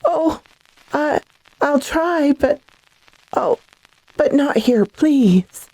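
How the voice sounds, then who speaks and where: A woman's voice: high-pitched, lively intonation, extremely slow, darkish tone, no echo, heavily acted, poor audio. An actress playing a frightened, bewildered, fragile lady in a radio melodrama, hesitant and breathless. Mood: hesitant confiding. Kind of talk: classic radio drama acting.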